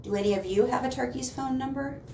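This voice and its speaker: A woman's voice: sits high, fast, mellow. A woman storyteller reading a children's picture book aloud expressively, with playful questions to listeners. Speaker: library storyteller. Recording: echoey room, distant mic, faint noise.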